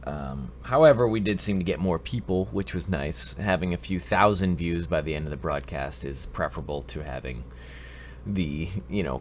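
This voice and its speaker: A man's voice: low voice, a little hiss or hum. Stuffy-voiced man hosting a live stream. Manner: rambling, joking, greets chat viewers by name. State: stuffy-nosed with a cold. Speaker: livestream advice show host. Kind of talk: casual live-stream chat with viewers.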